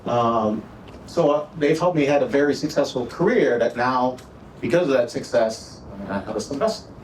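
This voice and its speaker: A middle-aged man with a Minnesota accent, speaking casually to an audience at an awards event, halting with frequent "uh" and "right?". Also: medium pitch, animated, a little hiss or hum. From Texas, raised in Minnesota. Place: event hall. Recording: echoey room, voice from far off.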